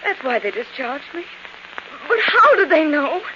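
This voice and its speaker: A woman's voice: high voice, sing-song. An actress playing a fragile young woman who fears for her sanity, breathless and pleading, in 1940s radio thriller style. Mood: anxious, despairing. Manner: breathless, halting, pleading, theatrical. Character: frightened, fragile young niece doubting her own sanity. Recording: dull, noisy old 1940s radio recording.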